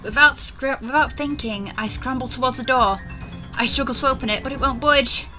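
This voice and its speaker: A woman's voice: high voice, moderate pace. A woman hosting a gaming video, reading a story aloud theatrically with casual commentary. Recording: faint music behind.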